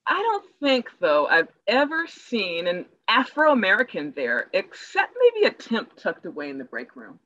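A woman's voice: mid-range voice, wildly swooping pitch, measured pace, hardly any pauses, a bit bright, lo-fi sound, a little hiss or hum. A woman playing an earnest, forward church organizer in an online play reading over a video call. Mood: friendly, forward. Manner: eager, proselytizing. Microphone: video call microphone.

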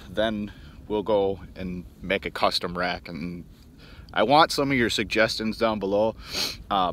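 Man, 30s, outdoors vlogger, low voice, handheld phone, rambling with ums, faint outdoor ambience. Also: a bit bright, slight background noise. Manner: rambling, many ums and restarts, chatty to viewers. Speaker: outdoors channel host. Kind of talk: casual vlog walkaround. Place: outdoors on snowy frozen lake. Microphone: phone at arm's length.

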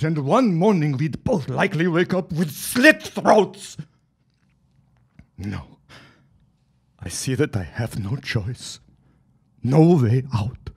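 A man performs a reverend's reluctant henchman in a period audio drama. His voice is husky and slow, with grave, formal wording and an anguished, subservient air. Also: mid-range voice, exaggerated voice.

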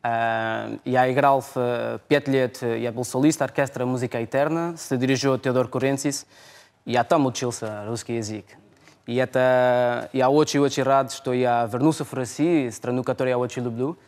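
A man in his thirties from Portugal, a native Portuguese speaker, answering interview questions in halting second-language speech with a Portuguese accent and a cheerful manner. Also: medium pitch, fairly even intonation, a little mellow. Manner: halting, broken grammar, cheerful. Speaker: guest musician. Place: television studio, indoors.

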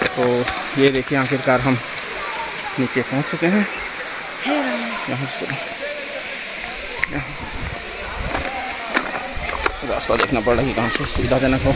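A middle-aged man talks to viewers through a phone held at arm's length as he walks a hill trail outdoors, pointing things out as he goes. His voice sits at a middle pitch, and loud outdoor noise runs under him the whole time. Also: fairly even intonation, measured pace, long pauses. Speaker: family vlogger. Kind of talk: walking tour commentary. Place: outdoor hillside trail near a waterfall. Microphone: handheld phone at arm's length.

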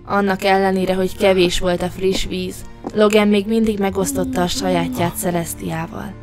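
A woman narrator reading a scripted fairy tale for an animated story. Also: mid-range voice, music in the background.

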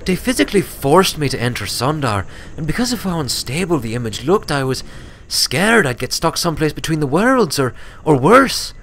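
A man's voice: on the high side, big pitch swings, moderate pace, a bit bright, a little hiss or hum, pitched above the performer's own voice. A man voicing a smug, serious young filly pony who explains things matter-of-factly in a story reading. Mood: explanatory.

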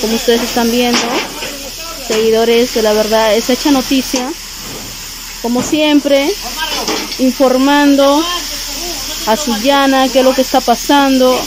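A woman's voice: high voice, flat delivery, a little slow, pauses often. A woman with a Peruvian Spanish accent reporting live from a house fire. She uses many fillers and repeats herself, recorded on a handheld phone. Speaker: local news reporter. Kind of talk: on-the-spot news report. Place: outdoors at a house fire scene. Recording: loud background noise.

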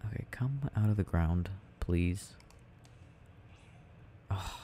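A young adult man rambling softly and casually in a quiet, sleepy murmur as he plays a game, in an ASMR style. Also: deep voice, expressive, takes it slowly. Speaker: audio roleplay creator. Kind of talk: soft casual rambling while gaming, ASMR sleep aid. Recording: faint background noise.

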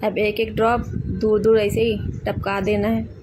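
A woman calmly explaining each step of a cake recipe in a home kitchen, speaking to a camera over the counter. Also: mid-range voice, normal speed, noisy background. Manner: calm step-by-step instructions. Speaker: home baker. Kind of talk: cooking tutorial narration.

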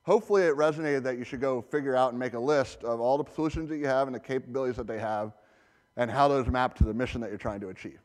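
A man's voice: medium pitch, quick pace. A man giving a conference talk as a presenter, conversational with many fillers and restarts. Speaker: conference presenter, security architect. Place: large conference hall. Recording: reverberant hall.

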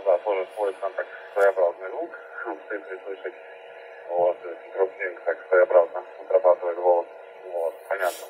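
A man's voice: low-pitched, flat delivery, normal speed, a little mellow. A man heard over a radio transmission, making casual amateur radio contact in polite, short choppy phrases. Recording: narrow-band noisy radio speaker playback.

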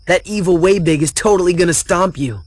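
A man's voice: high voice, a little fast, dry recording. A man voicing a tough, sarcastic older teenage guy in a video game cutscene, sardonic and dismissive.

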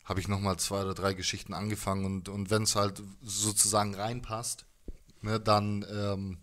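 A man with a slightly low voice talks casually as an interview guest. He is laid-back and colloquial, playing himself down as he speaks. The handheld microphone is held close in a small indoor room. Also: little pitch movement, normal speed, fairly bright tone. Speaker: musician guest.

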